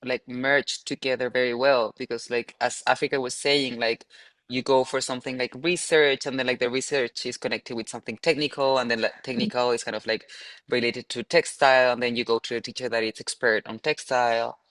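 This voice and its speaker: A man's voice: mid-range voice, little pitch movement, a little fast, fairly bright tone, no room sound. A man from Chile with a Chilean Spanish accent, talking casually and ramblingly in a webinar with lots of filler "like". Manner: rambling, frequent "like". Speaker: postgraduate alumnus.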